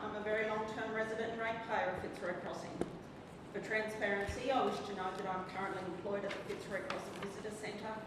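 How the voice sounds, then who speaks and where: A woman reading a formal, earnest prepared statement to a council, nervous as she speaks. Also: medium pitch. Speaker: member of the public. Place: council chamber. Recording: echoey hall from far off, noisy.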